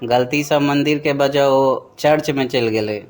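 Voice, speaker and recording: A man's voice: medium pitch, fairly even intonation, a little slow, slight background noise, close to an everyday voice. A man with a Bihari accent playing a hesitant, incredulous devotee in a comic cartoon. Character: skeptical, slow-spoken male devotee seeking advice.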